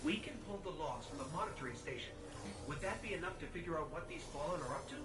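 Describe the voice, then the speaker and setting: An actor playing a small, helpful robot companion in a video game, chatty, wry and a little nervy. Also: male voice, a little high, expressive, fast talker, over music, lightly acted. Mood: eager.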